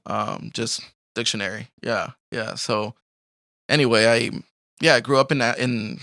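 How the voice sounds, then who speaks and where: A young man from Colombia with a gravelly, fry-laced voice that still sounds bright and forward. He tells his story in a loose, rambling way, full of "like" and "you know," and laughs easily. The sound is dry and close. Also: medium pitch, a little slow, no echo. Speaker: podcast guest. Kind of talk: casual life-story interview.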